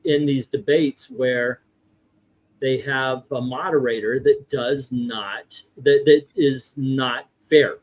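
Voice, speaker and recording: Guy hosting a casual stream, mid pitched voice, keeps stopping and restarting his thoughts. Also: steady tone, slow pace, poor audio. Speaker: livestream host. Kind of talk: casual livestream chat.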